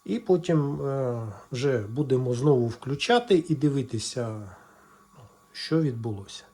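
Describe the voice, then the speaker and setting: Man explaining a repair, haltingly. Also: mid-range voice, lively intonation, dark tone, husky. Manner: halting, explanatory, thinking aloud. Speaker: electronics repair video presenter. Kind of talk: casual hands-on repair walkthrough.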